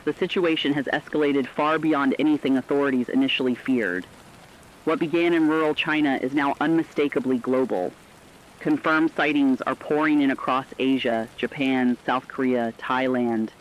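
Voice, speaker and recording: A man's voice: medium pitch, natural voice. A man playing a serious radio correspondent, giving an urgent, dramatic scripted report on a disaster. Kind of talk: scripted breaking news report. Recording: dull lo-fi radio sound.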